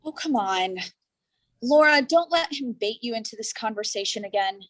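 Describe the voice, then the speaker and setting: A woman's voice: fairly high pitch, animated, normal speed, fairly bright tone. A woman playing a blunt, sarcastic, impatient friend in a staged play reading, dismissive in manner. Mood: exasperated.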